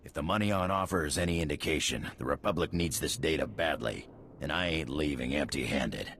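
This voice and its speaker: An actor playing a gruff, scornful mercenary boss in a video game, sneering and blunt. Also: male voice, extremely low pitch, fairly quick, a bit bright, a little hiss or hum. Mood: impatient, goading. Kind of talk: video game character acting.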